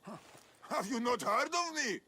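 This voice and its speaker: Pompous trainer in a game, really high bright voice, slow grand delivery, scoffing. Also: male voice, animated, breathy edge, exaggerated voice. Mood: boastful. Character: boastful, haughty, hot-tempered Olympic trainer. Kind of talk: theatrical video game voice acting.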